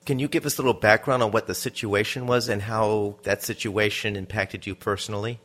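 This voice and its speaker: A man with a fairly low voice presents a radio interview program. He speaks as the host, moving between scripted introductions and questions for his guest. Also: steady tone, brisk, a bit bright.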